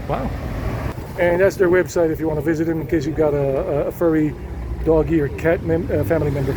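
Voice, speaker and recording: Chatty man walking a busy street. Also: on the high side. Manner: chatty and rambling, switching into Spanish with locals. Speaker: walking tour vlogger. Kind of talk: casual walking tour narration. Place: city street outdoors. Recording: outdoor street noise. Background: traffic and street noise.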